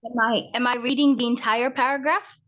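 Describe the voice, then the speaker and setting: A woman's voice: fairly high pitch, steady tone, fairly quick, a bit bright, highs cut off. A woman taking part in a speaking workshop over a video call, hesitant and apologetic as she reads. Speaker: workshop participant.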